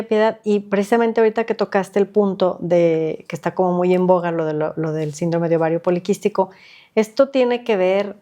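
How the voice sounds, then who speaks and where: Woman, podcast host, mid-pitched voice, short affirming replies. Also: mellow. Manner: brief affirming interjections. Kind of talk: podcast interview.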